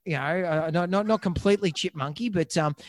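Squeaky-voiced man, chatty. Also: fast. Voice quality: slightly squeaky voice. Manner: animated, chatty storyteller with many restarts. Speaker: veterinarian podcast co-host. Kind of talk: casual chat.

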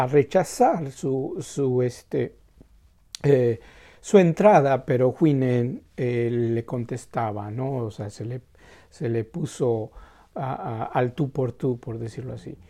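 A man telling a Zen story in a casual, halting way, full of restarts and tag questions. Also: medium pitch, mellow.

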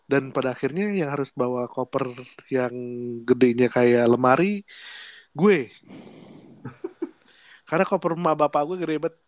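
A man's voice: medium pitch, a little slow, pauses often. A young man with a Jakarta accent, co-hosting a podcast in casual chat, his voice a little nasal from a cold and full of fillers. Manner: relaxed slangy Jakarta chatter with frequent fillers. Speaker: podcast co-host.

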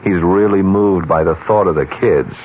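Man acting deep, cynical radio-drama schemer. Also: moderate pace, lightly acted. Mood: smugly persuasive. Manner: smooth, chuckling, insistent and emphatic. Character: cynical, persuasive male ad executive scheming to corrupt a colleague. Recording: dull, noisy old radio recording.

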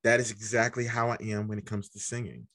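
A man hosting a podcast in relaxed, casual conversation, with a very deep voice and easy laughter. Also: dry recording. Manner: relaxed, laughs easily, halting restarts. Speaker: podcast host.

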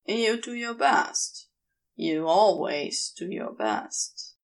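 Woman voicing a slow, commanding, tender male demon. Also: medium pitch, animated. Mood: tender, coaxing. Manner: slow, deliberate, praising commands. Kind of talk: fan fiction audiobook character voice.

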